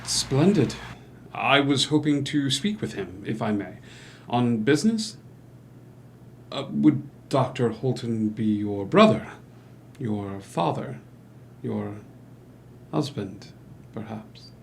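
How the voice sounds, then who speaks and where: Man acting courteous period doctor, unhurried, halting formal speech, subtly ingratiating, stage drama read, noisy background. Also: mid-range voice, lively intonation, vocal fry. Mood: polite, inquiring. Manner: formal, halting, old-fashioned politeness. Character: courteous, hesitant male doctor newly arrived in town, subtly ingratiating. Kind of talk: musical theatre table read.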